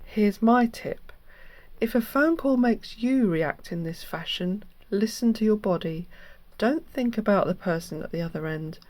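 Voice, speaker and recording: Female host, earnest explainer, medium pitch, dry sound. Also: expressive, unhurried, a little mellow, no echo. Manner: earnest, instructive. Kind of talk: explanatory scam-awareness narration.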